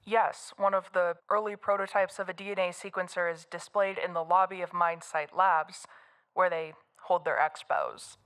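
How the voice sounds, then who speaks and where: Actress playing woman witness, slightly low pitch, guarded, matter-of-fact interview answers, audio drama. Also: fairly even intonation, normal speed, fairly bright tone. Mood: terse, guarded. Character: guarded, unimpressed woman witness recounting to a reporter.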